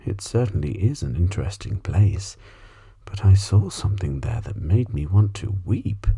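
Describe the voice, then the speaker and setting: A man voicing a polite, well-travelled old female alley cat in a storybook, soft and breathy, telling her tales at leisure. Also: low-pitched, expressive, moderate pace, exaggerated voice. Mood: reminiscing. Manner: courteous, leisurely, reminiscing. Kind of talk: ASMR storybook reading.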